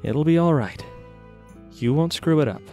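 A man's voice: on the high side, moderate pace, mellow, soft background music, voice pushed up, close to an everyday voice. A man voicing a caring, protective, direct male mage in an audio fiction drama. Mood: reassuring.

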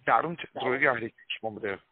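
A man giving a rehearsed telephone sales pitch, full of tag words and hesitations, with a raspy voice. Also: fairly quick, slight background noise. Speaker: telemarketing caller pitching a prize draw. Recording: narrow tinny phone line.

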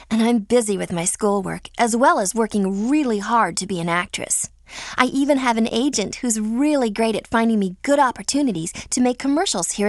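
A woman's voice: on the high side, no room sound, studio quality. A woman playing a friendly, earnest teenage American girl in a scripted video game. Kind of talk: scripted game character lines.

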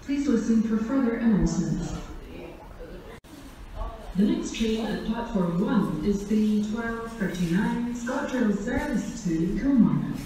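A woman's voice: on the high side, expressive, slow pace, dark tone. A woman making automated train announcements over a station's public-address system in formal, clipped, segmented phrases. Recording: distant, very echoey, noisy station PA recording.

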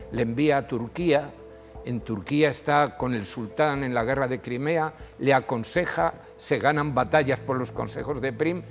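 Older man, gravelly voice, animated historian guest, reverberant studio, faint music. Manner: animated storytelling, rises in pitch when emphasizing. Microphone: lapel.

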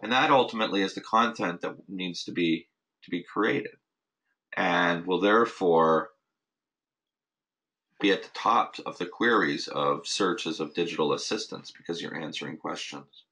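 A man's voice: sits low, normal speed, pushed and bright, low-quality recording. A man hosting a weekly marketing update, speaking off the cuff with frequent restarts and fillers on a recorded member call. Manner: casual, many restarts and "you know" fillers. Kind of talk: unscripted webinar talk.

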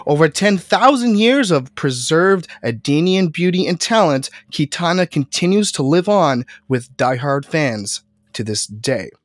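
A young man co-hosting a podcast interview, congested while recovering from an illness, speaking haltingly with fillers into a headset microphone in a home studio. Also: on the high side, expressive, dry recording. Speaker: podcast co-host.

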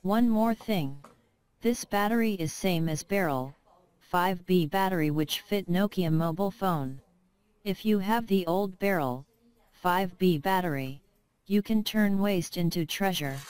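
A woman's voice: fairly low pitch, a little slow, darkish tone, clear, resonant tone. A woman reading a scripted product narration, with careful, non-native English phrasing. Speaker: product presenter.

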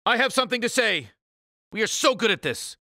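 An uptight, pompous male alien who leads a spaceship crew in a cartoon game. His voice is high and intensely sharp, swinging widely in pitch. Every phrase comes out emphatic and exasperated, full of grumpy self-importance. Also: animated, a little fast, vocal fry, no room sound, clean studio sound, heavily acted. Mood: pompous, insistent. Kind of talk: animated cartoon game voice acting.

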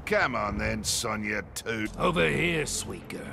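A gravelly, cocky Australian crook. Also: male voice, on the low side, lively intonation, unhurried. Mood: menacing, mocking. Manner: taunting, sardonic. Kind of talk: video game character banter. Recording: game audio over loud ambience and music.

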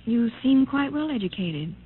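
On a noisy, narrow old radio recording, an actress plays a guarded woman professor with a fairly high voice. She speaks formally and hesitantly, in the manner of 1950s radio drama. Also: lively intonation, normal speed, natural voice. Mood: cool, guarded. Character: guarded, breathless young woman geneticist professor.

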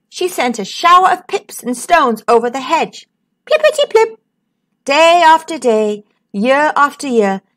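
Sing-song woman reading a picture book. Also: fairly high pitch. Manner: sing-song, dramatic pauses. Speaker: children's storyteller. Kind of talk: expressive picture-book read-aloud.

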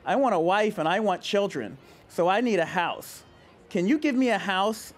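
A man voicing a boy who has grown into a tired old man, read aloud from a storybook in a lower, weary tone. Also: animated, moderate pace, a little hiss or hum. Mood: wanting.

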